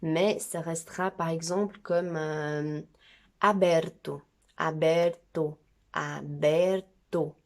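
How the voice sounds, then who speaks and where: A young Brazilian woman in her twenties gives a relaxed, chatty language lesson, with a Brazilian Portuguese accent. She talks at an unhurried pace in a lowish voice and repeats sounds slowly to demonstrate them. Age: 20s. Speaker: amateur language teacher. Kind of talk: casual video lesson.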